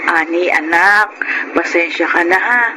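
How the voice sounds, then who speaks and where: A woman playing a gentle, loving blind mother in a Tagalog radio drama, tender and sentimental. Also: fairly low pitch, a little slow, natural voice. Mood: sorrowful, grateful. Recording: noisy radio drama background.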